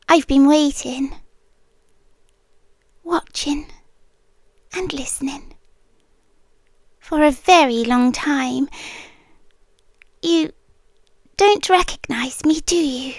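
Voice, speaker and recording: An ancient guardian monster girl acted by a British woman speaks in a high British voice, very close and dry. She takes her time, soothing and possessive, drifting between soft speech and whispers in an intimate yandere ASMR style. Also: no room sound. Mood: soothing, hushed. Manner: slow, hushed, intimate, soothing, often dropping to whispers. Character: centuries-old female gargoyle-like guardian monster, possessive, obsessively devoted. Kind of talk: yandere girlfriend ASMR roleplay. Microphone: close microphone, dry.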